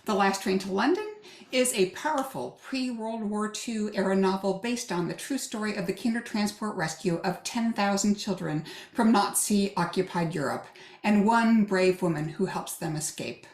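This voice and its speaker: A woman's voice: medium pitch, normal speed, a little mellow. A woman author from the United States reads a polished, well-rehearsed introduction to her novels. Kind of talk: scripted promotional reading. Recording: echoey room.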